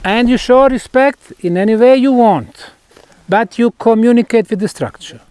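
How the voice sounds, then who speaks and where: A man giving a guided talk to a group at a site, speaking in deliberate, emphatic phrases broken by pauses. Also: very high-pitched, darkish tone, clear, resonant tone. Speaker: researcher and tour guide. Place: outdoors at a megalithic stone site.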